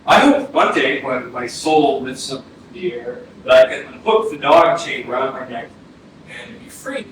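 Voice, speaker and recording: A man's voice: mid-range voice, lively intonation, normal speed, close to an everyday voice. A man acting in a staged reading as a yearning seeker, speaking a poetic, questioning monologue. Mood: yearning, searching. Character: yearning male seeker pondering the soul. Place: arts center stage, distant mic. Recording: very echoey hall, distant, clear noise.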